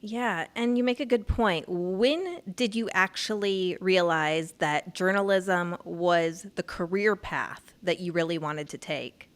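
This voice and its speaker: A woman's voice: lowish voice, slow, few pauses. A woman hosting a podcast, asking attentive, encouraging interview questions. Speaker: podcast host.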